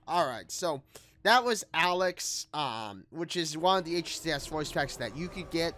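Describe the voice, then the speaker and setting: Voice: mid-range voice, animated, a little slow, pushed and bright. A gaming video host giving a casual, rambling review, often repeating and rephrasing. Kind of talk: casual scripted-light game review commentary. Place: small room at home, indoors. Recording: music playing behind the voice. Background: background music.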